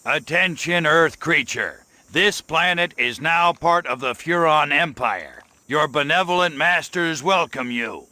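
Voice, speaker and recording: A man's voice: a little high, lively intonation, unhurried, few pauses, sharp tone, dry recording, heavily acted. A man voicing an arrogant, irritable, trigger-happy little alien invader in a video game, with an imperious, sarcastic manner. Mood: haughty, formal.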